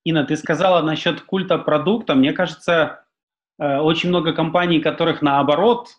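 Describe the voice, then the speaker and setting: A man hosting a casual interview, asking calm, measured questions. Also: on the high side, lo-fi sound. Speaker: interview host.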